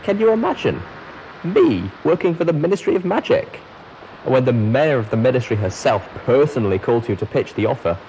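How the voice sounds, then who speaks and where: A man voicing an excitable, boastful female pony leaving a recorded message, with gushing, theatrical emphasis. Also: medium pitch, slight background noise, pitched above the performer's own voice, low-quality recording. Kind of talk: recorded message in a fan fiction reading.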